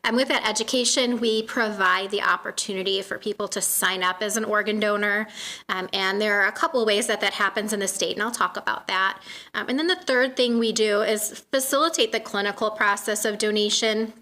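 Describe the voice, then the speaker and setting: A soft-spoken woman in her thirties giving a presentation to a community meeting in a room, with frequent "um" fillers. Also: mid-range voice, steady tone, brisk. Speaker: guest speaker from a donation nonprofit.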